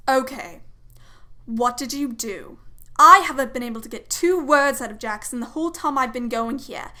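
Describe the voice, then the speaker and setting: A woman acts a friendly young woman in a fan audio drama. Her voice is high and bright, with a teasing streak as she minds the children. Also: normal speed. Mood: curious, teasing. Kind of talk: amateur audio drama acting.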